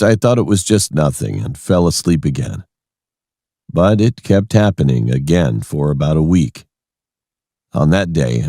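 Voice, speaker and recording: A man's voice: a bass voice, mellow, dry recording, studio quality. A man reading a scripted first-person horror story as its narrator.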